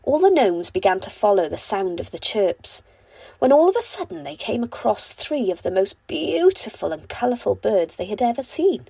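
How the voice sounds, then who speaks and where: A woman reads a children's story aloud with lots of expression. Her pitch moves freely through deliberate phrases, and the sound is dry, with no room echo. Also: sing-song, creaky, mellow, breathy edge. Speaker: storybook reader. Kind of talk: expressive bedtime story narration.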